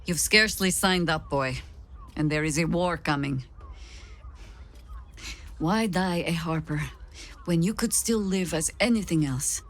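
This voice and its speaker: A woman's voice: fairly low pitch, close to an everyday voice. Actress as a stern veteran woman commander. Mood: grave reproach. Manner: measured, authoritative, grave. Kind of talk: dramatic video game acting.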